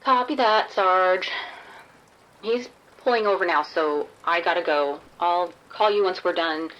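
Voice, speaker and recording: A woman's voice: on the low side, unhurried, fairly bright tone, lo-fi sound. A woman playing a wry undercover policewoman in a radio drama, talking casually and matter-of-factly. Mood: uneasy, businesslike. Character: wry undercover policewoman posing as a street sex worker.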